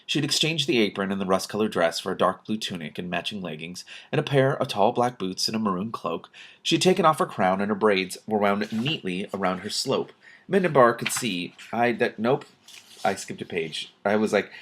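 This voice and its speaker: A man with a fairly low voice reads a story aloud as host. He is an amateur reader, expressive and informal, mixing narration with his own casual remarks. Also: a little fast. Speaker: host reading a book aloud with commentary.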